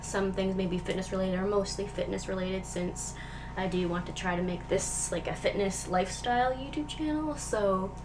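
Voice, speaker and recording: A woman's voice: mid-range voice, normal speed. Young woman vlogging, stuffed-up nasal voice from a cold, rambly, lots of um and like, noisy background hiss. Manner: rambling, filler-heavy, chatty. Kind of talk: casual chat. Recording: steady background noise.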